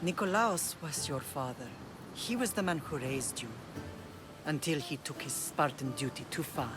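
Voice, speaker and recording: An actress playing a middle-aged mother who leads a Greek island, speaking in a measured, earnest, formal way in a dramatic game scene. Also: sits low, lightly acted. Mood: tender regret. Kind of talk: dramatic game cutscene acting. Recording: music behind the voice.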